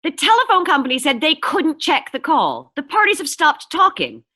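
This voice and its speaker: A woman's voice: high-pitched, wildly swooping pitch, moderate pace, sharp tone, heavily acted. A woman playing an anxious, imperious bedridden middle-aged wife in a 1940s-style suspense radio play, insistent and stammering. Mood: indignant, demanding. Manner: agitated, insistent, stammering and sighing.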